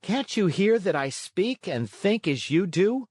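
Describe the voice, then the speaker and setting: A man acts out a small marionette boy in a children's storybook, pitched well up. He is proud and plucky, speaking with earnest indignation. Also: sing-song, measured pace, a little mellow, breathy edge, no room sound, studio quality, heavily acted. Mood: indignant. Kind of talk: children's storybook read-aloud.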